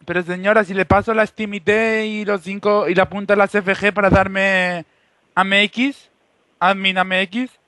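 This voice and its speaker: A man playing an insistent, boastful foreign gamer on a prank call, halting and repetitive, with a put-on Moroccan accent. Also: sits high, little pitch movement, bright, forceful tone, dry recording. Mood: pushy, boastful.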